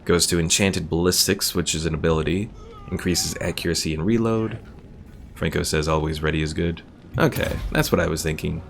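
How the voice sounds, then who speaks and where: A man's voice: deep voice, fairly even intonation, fairly quick, creaky, slight background noise. A man giving casual game commentary as a streamer, thinking aloud and reading game text out. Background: game music.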